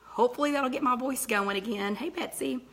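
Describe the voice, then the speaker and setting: A middle-aged woman, her voice raspy and nearly gone, hosting a live chat and greeting viewers by name between thoughts. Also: a little high, fairly quick, a bit bright. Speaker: host of a live weight-loss chat.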